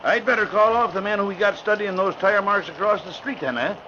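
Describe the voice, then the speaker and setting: A brisk police sergeant reporting matter-of-factly. His voice is high and sharp, heard through a dull, faintly hissy old broadcast. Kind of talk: 1940s radio acting. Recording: muffled old radio broadcast with faint hiss.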